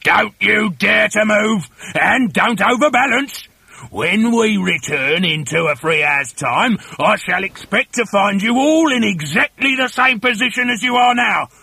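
A man voicing a nasty, excitable bully of a storybook villain, barking threats and orders. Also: high-pitched, a little slow, vocal fry, husky, no echo, voice pushed up, exaggerated voice. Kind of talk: storybook character voice.

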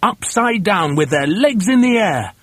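Storybook father monkey: firm, urgent family leader, high and lively, slightly husky, played by a man. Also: unhurried, dry recording, full character voice. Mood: determined. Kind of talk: storybook character voice.

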